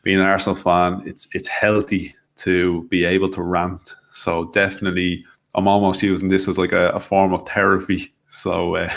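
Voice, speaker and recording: A man's voice: low-pitched, monotone, normal speed, creaky, a bit bright. A man with an Irish accent, a guest on a fan talk show, chatting casually and joking about himself. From Ireland. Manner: self-deprecating, chatty, frequent restarts. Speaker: podcast guest. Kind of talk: casual chat.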